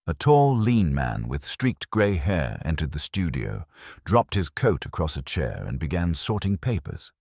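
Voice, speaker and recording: A man's voice: a bass voice, sing-song, measured pace, vocal fry, darkish tone, no room sound, studio quality. A man reading a first-person detective story as an audiobook narrator.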